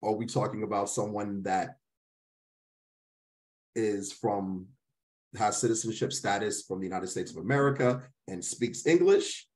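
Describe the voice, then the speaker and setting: A man's voice: lowish voice, unhurried, long pauses, darkish tone. A man facilitating an interactive workshop, affirming participants and often checking in as he explains.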